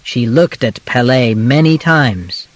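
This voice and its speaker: A man reading a scripted audiobook in simple, measured, clearly phrased short segments. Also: medium pitch, expressive. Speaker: audiobook narrator. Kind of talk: scripted narration of a simplified biography for learners.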